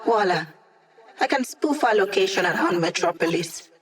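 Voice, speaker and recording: A woman's voice: medium pitch, lively intonation, normal speed, lightly acted. An actress voicing a witty young female hacker in a video game, a digitized mind who helps a squad with breezy, wry, techy teasing. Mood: focused, eager. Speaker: mission support character in a video game.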